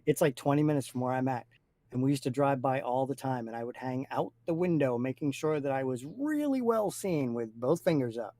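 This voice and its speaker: A man's voice: mid-range voice, lively intonation, fairly quick, warm tone, dry recording. A man in casual, opinionated talk, blunt and emphatic, laying out his points firmly.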